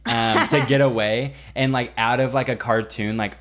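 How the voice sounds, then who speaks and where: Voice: mid-range voice, steady tone, moderate pace. A young American podcast co-host chatting casually and playfully, swearing and saying "like" a lot. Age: young adult. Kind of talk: casual comedic chat.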